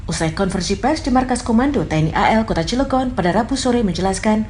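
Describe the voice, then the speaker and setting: A woman reporter reading a scripted news voice-over at a steady, even pace. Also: on the high side, quick pace, a little hiss or hum.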